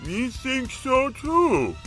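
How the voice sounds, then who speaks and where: Big childlike orc brute, audio drama. Very slow, forceful, slow-witted, broken speech. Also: male voice, extremely high pitch, wildly swooping pitch, soft background music, exaggerated voice. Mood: apologetic. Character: huge, dim, childlike male orc henchman.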